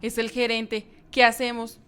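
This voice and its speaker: A woman's voice: a little high, completely flat delivery, measured pace, fairly bright tone, smooth. A woman playing a worried, polite middle-aged mother in an amateur radio play.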